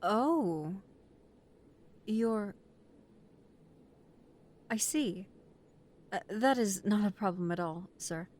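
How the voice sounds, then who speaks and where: A woman's voice: medium pitch, expressive, extremely slow, pauses often, darkish tone. A woman playing a nervous, deferential mafia recruit who speaks politely and formally, with hesitation, in an audio roleplay. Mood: nervous, apologetic.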